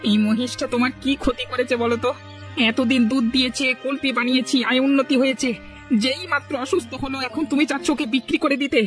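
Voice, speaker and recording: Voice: high-pitched, steady tone, fast talker, faint music behind, close to an everyday voice. An actor voicing an aggrieved, devoted male villager who keeps a buffalo, in a cartoon folk tale, earnest and plaintive. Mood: indignant, defensive.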